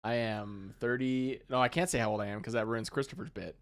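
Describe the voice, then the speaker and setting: A man's voice: medium pitch, fairly even intonation, a little fast. A man in his thirties co-hosting a hobby livestream, reading viewer comments aloud and teasing his co-hosts in casual chat. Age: 30s. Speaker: co-host of a hobby paint livestream.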